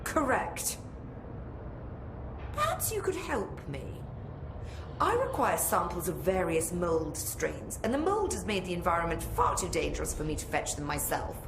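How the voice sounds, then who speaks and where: A haughty, curt researcher. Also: female voice, lowish voice, huge pitch swings, unhurried, full character voice. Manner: condescending, curt. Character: haughty older female Bureau researcher. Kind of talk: video game cutscene acting. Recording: echoey room with background noise.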